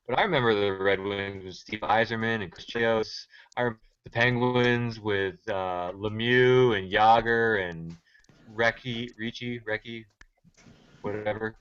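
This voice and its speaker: A man's voice: mid-range voice, fairly bright tone, highs cut off. A man from Cape Cod who jokes around easily and laughs a lot as he co-hosts a casual sports chat. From Cape Cod, Massachusetts. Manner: easygoing, jokey, laughs often. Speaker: co-host.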